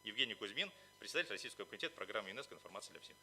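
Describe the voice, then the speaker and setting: A man's voice: lowish voice, expressive, rapid-fire, sharp tone, soft background music. A man delivering a formal, official prepared speech as a conference speaker. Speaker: conference speaker, representative of the organizers. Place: conference hall at a library.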